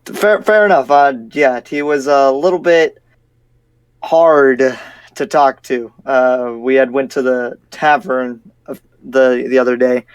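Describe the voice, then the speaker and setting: A man playing a skeptical, cautious demon slayer in an improvised roleplay, speaking in a halting, incredulous way. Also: medium pitch, smooth, no room sound, lightly acted. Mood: wry, doubtful. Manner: halting, incredulous, repeats himself. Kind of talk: improvised roleplay acting in casual speech.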